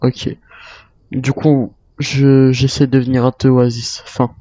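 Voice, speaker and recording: Man, mellow voice, wary survivor role-play, video game, muffled roomy recording. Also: medium pitch, level tone, slow pace. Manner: speaks in character as a wary survivor. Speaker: player role-playing a zombie apocalypse survivor. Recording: muffled lo-fi mic, roomy echo.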